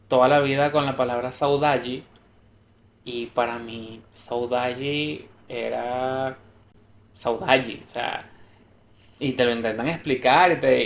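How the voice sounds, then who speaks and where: A man's voice: medium pitch, a little hiss or hum. A man co-hosting a podcast, talking casually and thoughtfully, explaining ideas through examples and restarts. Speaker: podcast co-host. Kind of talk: casual conversational podcast chat. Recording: roomy echo.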